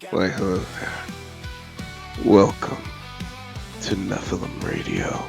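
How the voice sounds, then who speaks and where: A man's voice: low voice, wildly swooping pitch, slow pace, vocal fry, over music. A man delivering a scripted channel welcome as the host.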